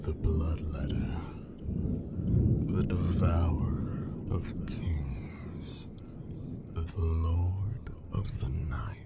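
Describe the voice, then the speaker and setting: A deep-voiced, imperious vampire lord, acted out by a man for an ASMR roleplay. He drawls theatrically, teasing and threatening in equal measure. The voice often slips into a breathy whisper and crackles with vocal fry. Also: very slow, pauses often, exaggerated voice. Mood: menacing, proud. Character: haughty, menacing, teasing male vampire lord. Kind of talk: ASMR roleplay, scripted character acting.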